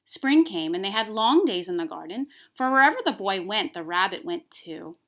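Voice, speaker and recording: A woman reading a children's story aloud as a teacher, expressive, with emphasis on key words. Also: medium pitch, moderate pace.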